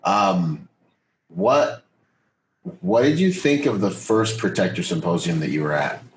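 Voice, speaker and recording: A man's voice: sits low, expressive, unhurried, low-quality recording. An American man hosting a podcast in casual, enthusiastic, slangy and emphatic conversation. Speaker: podcast host. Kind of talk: casual interview chat.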